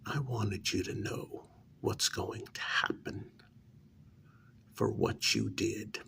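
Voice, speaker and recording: Vengeful male vampire , performed by a man, ASMR role-play. Lowish, breathy, quietly threatening, very unhurried delivery, faint noise behind. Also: huge pitch swings, exaggerated voice. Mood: sadistic menace. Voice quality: breathy half-whisper with gravelly fry. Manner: slow, deliberate, quietly threatening with drawn-out pauses. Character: cold, vengeful male vampire lord, menacing and cruel.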